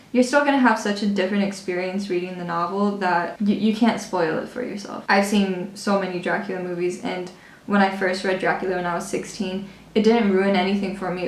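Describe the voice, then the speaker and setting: A woman talks casually about a book she loves, loose and chatty, with plenty of fillers and a laugh now and then. Her voice sits at a middle pitch, and the room gives it a clear echo. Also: a little hiss or hum. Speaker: book and makeup vlogger. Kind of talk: casual book review talk. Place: lives in Budapest. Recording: roomy echo.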